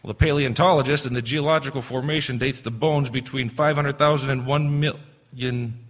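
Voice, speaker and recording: Man acting a husky, scheming radio-drama villain. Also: medium pitch, fairly even intonation, normal speed, close to an everyday voice. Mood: musing, uneasy. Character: scheming, cold-blooded male embezzler turned killer. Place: stage in a theater hall. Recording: echoey stage hall reverb.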